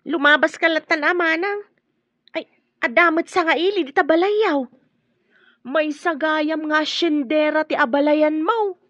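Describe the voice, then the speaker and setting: An Ilocano-accented woman playing a proud, protective middle-aged mother in a radio drama, with a husky voice. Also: sits high, a little slow, fairly bright tone, dry recording, lo-fi sound. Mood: pointed, argumentative. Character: proud, protective mother sizing up her child's in-laws.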